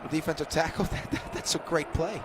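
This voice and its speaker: A man's voice: on the high side, fairly quick. An American man giving opinionated, emphatic live radio analysis as a football color commentator, often repeating himself. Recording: loud constant stadium noise, outdoor broadcast.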